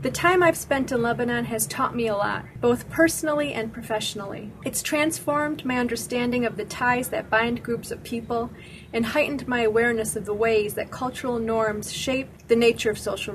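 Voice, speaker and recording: A woman from Michigan, a sociologist and researcher, reading a prepared first-person account in a measured, even way. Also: a little high, a little hiss or hum, poor audio. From Michigan, United States. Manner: measured, evenly paced reading of a prepared statement. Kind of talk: scripted first-person narration.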